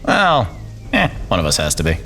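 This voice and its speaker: A man's voice: low voice, huge pitch swings, normal speed, vocal fry, sharp tone, faint music behind, heavily acted. A man playing a boastful, flippant, haughty rogue nobleman in a comedic fantasy audio drama. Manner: haughty, flippant, self-satisfied. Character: cocky, whiny, boastful young male rogue nobleman.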